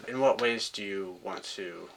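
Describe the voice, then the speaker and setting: Brief: male interview host, lowish voice with a forceful edge, asks brief probing questions. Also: unhurried, creaky, slight background noise. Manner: short probing questions, attentive.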